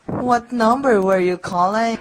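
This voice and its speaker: A woman's voice: fairly high pitch, unhurried, heavy background noise, close to an everyday voice. A woman playing a polite telephone operator in a radio drama, formal and procedural in her way of talking. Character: polite, unhurried, formulaic female telephone operator.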